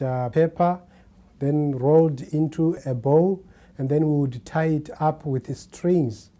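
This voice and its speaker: A man tells stories from his youth in a measured, deliberate way. His voice is dark and mellow, and he takes his time between phrases. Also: mid-range voice. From Zimbabwe. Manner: slow, measured storytelling. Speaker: documentary interviewee. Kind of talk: reminiscing to camera.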